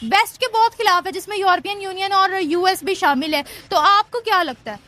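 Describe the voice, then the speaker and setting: A woman's voice: very high-pitched, a little fast, sharp tone. A woman with a Pakistani accent doing political street interviews, asking long, probing, leading questions. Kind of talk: vox pop political interview.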